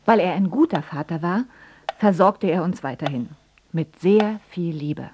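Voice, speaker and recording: An actress playing a wry, guarded grown daughter in a German radio drama, telling of her father in a clipped, deadpan way. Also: lowish voice, a little slow, a little hiss or hum. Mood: wry narrating.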